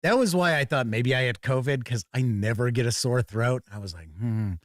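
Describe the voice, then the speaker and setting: A man hosting a casual live tabletop gaming stream, a little raspy and hoarse from being under the weather, talking in a folksy, upbeat way. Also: mid-range voice, moderate pace, no echo, studio quality. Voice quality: slightly raspy. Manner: upbeat, folksy greetings, chatty. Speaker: host. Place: home studio.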